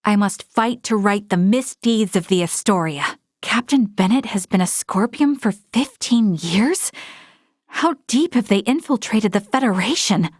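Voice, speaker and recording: A woman's voice: mid-range voice, lively intonation, unhurried, no echo, studio quality. A woman playing an earnest young female military officer in a space video game, speaking formally and articulately with resolve. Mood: serious. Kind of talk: scripted video game character dialogue.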